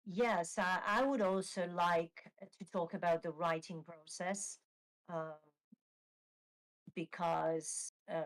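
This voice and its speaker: A woman's voice: on the low side, fairly even intonation, slow, poor audio. A woman author with an Italian accent, a native Italian speaker talking in English, hesitant with many fillers and restarts, speaking over a video call. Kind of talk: live online Q&A.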